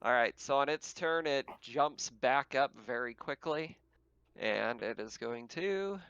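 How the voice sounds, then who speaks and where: A man running a tabletop roleplaying game as game master, narrating casually with hesitant pauses. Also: mid-range voice, expressive, a bit bright, smooth, lo-fi sound.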